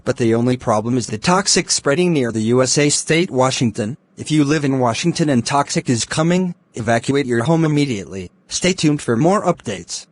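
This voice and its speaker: A man's voice: medium pitch, animated, brisk, few pauses. A man delivering a scripted mock emergency broadcast as a formal, stilted alert announcer.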